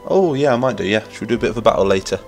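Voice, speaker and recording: A man's voice: lowish voice, lively intonation, racing, vocal fry, a little mellow, soft background music. A man from Lincolnshire with an English accent, chatting enthusiastically on a live stream while nasal from a cold. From England. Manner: chatty, enthusiastic, rambling, reading chat aloud. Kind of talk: casual live-stream chat while gaming.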